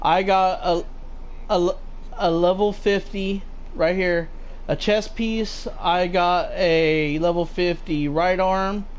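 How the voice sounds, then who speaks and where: A man's voice: high voice, steady tone, slow. A man with a mild Southern US accent chatting casually about a game as host, rambling with many fillers and restarts. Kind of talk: casual gaming chat.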